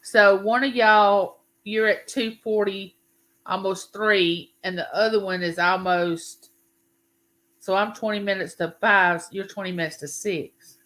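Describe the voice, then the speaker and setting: A woman in her fifties with a Southern US accent hosts a relaxed livestream chat, talking to viewers like neighbors. Her voice is bright and forceful, and her phrases are folksy and drawn out. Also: mid-range voice, unhurried, low-quality recording. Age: 50s. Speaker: YouTube livestream host. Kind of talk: casual live chat with viewers.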